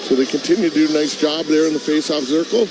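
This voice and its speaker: North American hockey analyst, slightly high male voice. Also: quick pace, noisy background. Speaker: color commentator. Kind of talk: conversational live hockey analysis. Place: broadcast booth at a hockey arena.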